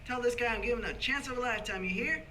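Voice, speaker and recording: A man acts out a hearty, boastful young go-getter from an old radio drama. His voice is high and piercingly bright, with a breezy push and faint noise behind it. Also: fast. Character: brash, jovial young businessman on the telephone. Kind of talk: 1940s radio play acting.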